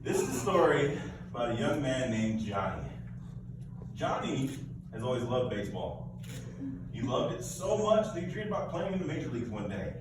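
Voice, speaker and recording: A man's voice: medium pitch, sing-song, moderate pace. A man narrating a live puppet show for children in a playful, informal way, with some hesitations. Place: large echoey room, live performance. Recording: echoey, noisy room, far from mic.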